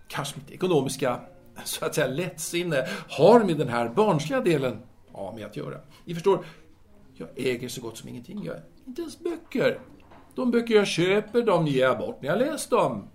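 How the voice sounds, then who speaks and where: An actor playing a candid, self-assured male French intellectual in an audio drama, speaking formally and deliberately with hesitant pauses. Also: on the high side, sing-song, a little mellow. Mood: reflective.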